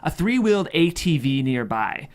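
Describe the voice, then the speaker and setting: A man's voice: on the high side, sing-song, normal speed. A man reading a screenplay's stage directions as scripted narration. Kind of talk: scripted narration at a table read.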